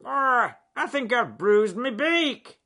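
A man voicing a fussy, grumpy male rook who fusses over his aches, speaking in a croaky, grumbling voice in a storybook reading. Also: extremely high pitch, sing-song, takes it slowly, sharp tone, pitched above the performer's own voice, full character voice.

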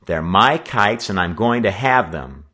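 A man's voice: fairly low pitch, lively intonation, extremely slow, voice pushed up. A man playing an honest, stubborn boy in a storybook, speaking in a firm, dogged, indignant manner.

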